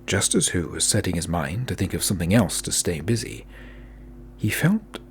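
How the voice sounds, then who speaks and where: A man with a gravelly, husky voice narrating a fantasy audio drama in a deliberate, dramatic way. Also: deep voice, sing-song, a little hiss or hum.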